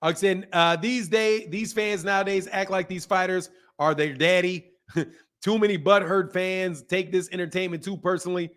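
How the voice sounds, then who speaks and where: A man's voice: high-pitched, normal speed. A man in his fifties hosting a live boxing talk stream, chatting loosely with viewers, repeating points for emphasis and laughing often. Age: 50s. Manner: animated, talks back to chat, repeats himself for emphasis, laughs often. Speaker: boxing channel host. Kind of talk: casual live-stream chat reading comments.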